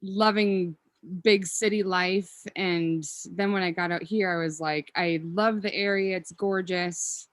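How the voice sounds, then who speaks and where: A woman's voice: fairly low pitch, fairly even intonation, unhurried, no echo. Chatty woman in her thirties, dry sound. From Minnesota. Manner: chatty and rambling, with frequent ums and self-corrections. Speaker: podcast guest. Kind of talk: casual interview chat.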